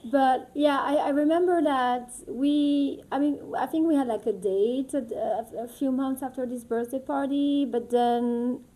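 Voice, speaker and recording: Casual female co-host, French accent, fairly high yet relaxed voice. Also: measured pace, few pauses, poor audio. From northeastern France. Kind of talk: casual chat between friends.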